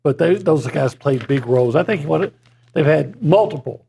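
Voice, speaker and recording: A man talking sports as a columnist on a studio show, measured and analytical, with dry asides. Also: on the high side, animated, creaky, mellow, slight background noise. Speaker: sports columnist and regular guest. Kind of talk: casual sports talk chat. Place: broadcast studio.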